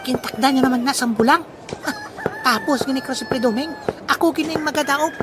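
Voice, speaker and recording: Woman playing high-voiced, excitable Visayan cockfight gambler. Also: a little fast, background noise, close to an everyday voice. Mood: boastful. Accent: Filipino, Western Visayan. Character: boastful, gleeful cockfight gambler with a prized rooster. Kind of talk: comedic audio drama acting.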